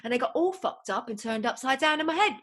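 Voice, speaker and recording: A woman's voice: sits high, expressive, quick pace, fairly bright tone. A woman from New England hosting a casual podcast in an affected British accent, with a theatrical, playful manner and lots of endearments. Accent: British, put on. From New England, USA. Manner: theatrical, playful, peppers talk with endearments like love and darling. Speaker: podcast host.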